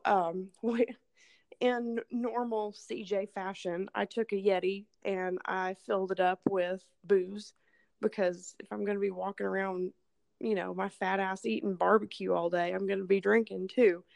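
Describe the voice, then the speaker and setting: A hoarse woman, casually telling a rambling, profane story as podcast host. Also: medium pitch, steady tone, unhurried, darkish tone. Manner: rambling, swearing, laughing storyteller. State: hoarse, losing her voice from illness.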